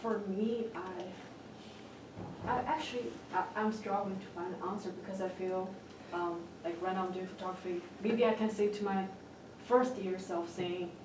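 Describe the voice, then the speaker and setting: A woman's voice: medium pitch, fairly even intonation, unhurried. Hesitant woman, distant and echoey. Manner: reflective, hesitant, restarting phrases. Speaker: panelist. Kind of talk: panel discussion reflection. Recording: echoey room from far off, with faint noise.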